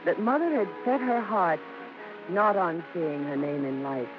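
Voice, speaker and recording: A woman's voice: on the low side, very animated, unhurried, noisy background. An American woman playing a fond daughter who narrates a story in old radio drama style. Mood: fond, storytelling. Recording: muffled old radio, music behind.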